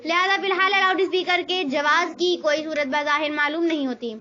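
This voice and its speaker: A woman with a very high, bright voice reads a formal religious text aloud. Her delivery is that of someone reciting from a written page. The recording is somewhat dull and lo-fi. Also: steady tone, a little fast. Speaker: reader of religious rulings.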